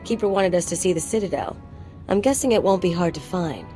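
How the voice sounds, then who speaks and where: A woman's voice: on the low side, animated, brisk, natural voice. An actress playing a brash, flippant young female sidekick with a casually violent streak in a video game. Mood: cocky. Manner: flippant, swaggering. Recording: game music behind the voice.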